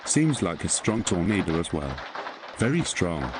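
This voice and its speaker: Man playing a deep-voiced storm reporter. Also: very animated, measured pace. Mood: alarmed. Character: alarmed male TV storm reporter covering tornado damage. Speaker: weather broadcaster. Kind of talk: live breaking storm coverage. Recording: clear background noise.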